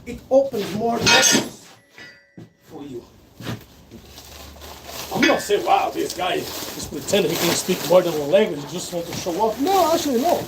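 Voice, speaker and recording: A man's voice: high-pitched, very animated, normal speed, long pauses. A Brazilian man whose first language is Portuguese, speaking English with a Brazilian accent. He chats casually on a livestream, rambling and correcting himself as he goes. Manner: rambling, self-correcting, conversational. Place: at work, sorting bags. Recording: loud background noise.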